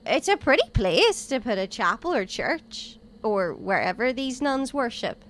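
A woman's voice: on the high side, big pitch swings, unhurried, a bit bright. A woman playing a cheeky, inquisitive travelling companion in an audio drama, with a teasing, informal manner. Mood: upbeat.

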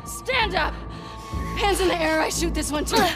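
An actress playing a menacing, furious young soldier in a video game drama, commanding and forceful. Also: female voice, extremely high pitch, moderate pace, over music. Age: young adult.

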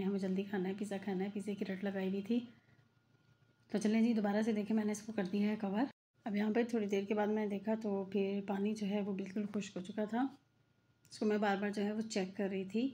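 A woman's voice: medium pitch, dark tone. A middle-aged woman, giving a chatty, filler-heavy voice-over for her home cooking video. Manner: chatty, repetitive, many fillers. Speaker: cooking channel host. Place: home kitchen.

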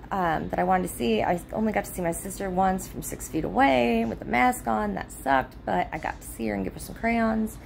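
A woman's voice: mid-range voice, gravelly, darkish tone, slight background noise. A woman in her thirties chatting casually to her followers from a motel room, rambling with many ums and restarts, recorded on a phone at arm's length. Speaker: vlogger. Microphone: phone held at arm's length.

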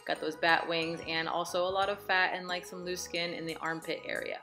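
A woman's voice: fairly low pitch, little pitch movement, brisk, bright, forceful tone, soft background music. A woman in her thirties vlogging at home, talking through her workouts and meal prep in an upbeat, encouraging, chatty way. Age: 30s. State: out of breath after a workout. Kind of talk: casual vlog narration. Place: home living room and kitchen.

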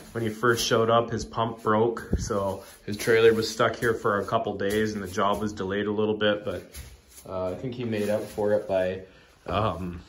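A man's voice: fairly low pitch, a little hiss or hum. A man casually walking through his shipping container build, rambling with lots of fillers and restarts, filmed on a handheld phone inside the container. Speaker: DIY video maker. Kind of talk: casual walkthrough vlog. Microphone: phone camera held in hand.

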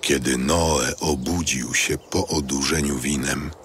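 A man with an extremely low voice narrates scripture gravely and slowly. Each phrase is measured and solemn, over faint ambience. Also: steady tone, a bit bright, slight background noise.

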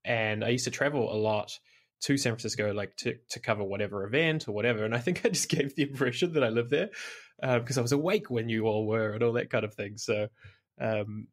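A man's voice: medium pitch, brisk. A man with a New Zealand accent, a podcast guest chatting casually on a call, laughing often and saying "like" a lot. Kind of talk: casual interview chat.

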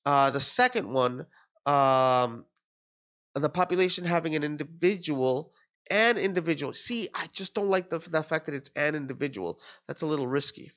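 A man's voice: fairly high pitch, normal speed, fairly bright tone. A man in his thirties working through an exam question as a tutor, sounding nasal from a cold and thinking aloud with fillers and restarts. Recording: dull lo-fi screen recording.

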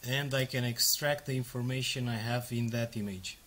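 Bright-voiced Romanian man demoing software. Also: medium pitch, fairly even intonation, normal speed. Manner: casual, explains step by step with fillers. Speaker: software demo presenter. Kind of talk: screen-recorded tutorial walkthrough.

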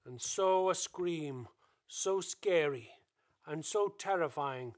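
A man narrates a radio play from a script. His voice sits high, and he takes his time, telling the story slowly and dramatically.

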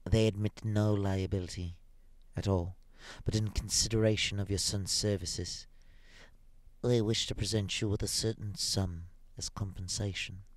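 Casting: man reading reluctant company envoy in a storybook, formal, hesitant, quiet and low-ish. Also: flat delivery, normal speed, no echo, lightly acted. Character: apologetic, formal company messenger, reluctant and subdued.

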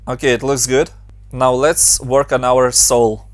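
A young adult man from Ukraine with a Ukrainian accent, giving brisk step-by-step narration for a software tutorial. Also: medium pitch, level tone, dry recording, clean studio sound. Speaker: motion design tutorial host.

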